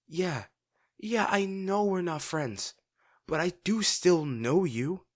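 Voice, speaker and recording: A young man playing a brash teenage bully with a secretly caring side in a dramatic audio roleplay, speaking gruffly with a husky, breathy voice, swearing and sighing. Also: high-pitched, expressive, full character voice. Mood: exasperated, concerned. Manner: gruff, swearing, sighing, halting when upset.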